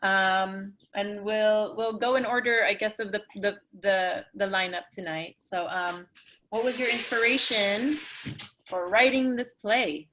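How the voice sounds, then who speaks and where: A woman's voice: medium pitch, fairly even intonation, measured pace, noisy background. A woman hosting a playwrights' talk-back over a video call, speaking in a casual style.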